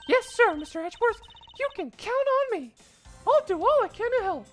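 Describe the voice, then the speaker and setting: A flustered, eager young woman guard from a detective game, chirping in a squeaky, soaring voice that leaps all over the place, as a man puts her on in falsetto. She gushes, apologizes and tumbles through her words in a live fan dub. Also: a little fast, soft background music, full character voice. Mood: excited. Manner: high, excitable, breathy falsetto. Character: clumsy, eager young female security guard. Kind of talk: live one-man fandub.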